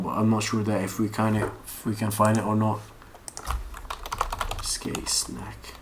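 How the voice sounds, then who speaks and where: Man, slow halting delivery, casual tutorial instructor, thinking aloud, noisy recording with keyboard clicks. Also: on the low side. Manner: rambling, halting, thinking aloud while searching. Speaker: online course instructor. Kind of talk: casual unscripted screen-share tutorial. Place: at a computer. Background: typing and mouse clicks.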